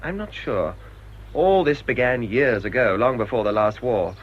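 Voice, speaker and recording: An actor playing a bitter English village priest in a radio drama, with a dry, grumbling way of talking. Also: male voice, mid-range voice, animated, moderate pace, lightly acted. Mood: confiding. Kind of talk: British radio drama acting. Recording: dull, noisy old radio drama recording.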